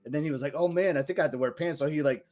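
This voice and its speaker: A man's voice: on the high side, darkish tone. Chatty male podcast co-host. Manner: chatty, enthusiastic storyteller with quick asides. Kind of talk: casual chat.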